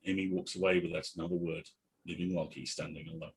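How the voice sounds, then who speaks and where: A man with a low-pitched voice narrates an audio drama script. He reads scene headings and action lines. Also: level tone, fast talker. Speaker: narrator at a table read.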